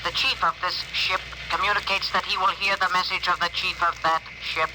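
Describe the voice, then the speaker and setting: A man playing an alien spokesman with measured, formal, stilted speech in an old science fiction radio drama. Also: high voice, level tone, lightly acted. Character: alien ship's spokesman, formal, stilted, translated through machine. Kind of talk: 1950s radio drama acting. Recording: noisy old 1950s radio broadcast.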